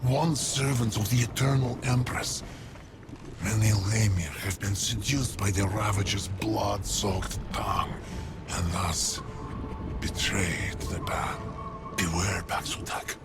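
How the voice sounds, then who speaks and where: A man playing a weary, ancient nature guardian creature in a video game, speaking gravely and haltingly in a gravelly voice. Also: fairly low pitch, fairly even intonation. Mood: grave warning. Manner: grave, halting, archaic phrasing. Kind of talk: video game cutscene acting. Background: loud music.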